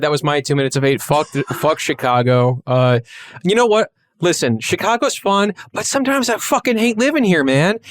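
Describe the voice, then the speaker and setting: Male co-host, slightly high voice; profane, jokey ranter, dry sound. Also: no echo. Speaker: podcast co-host. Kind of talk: casual chat.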